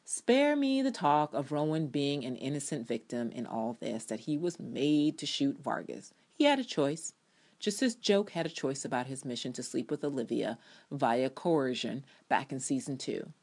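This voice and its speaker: A woman with a low voice reads her own review essay aloud. Her delivery is emphatic and dramatic, with firm opinions stated as commentary. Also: very animated, moderate pace, a little mellow. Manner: emphatic, opinionated, acts out quotations. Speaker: TV show reviewer.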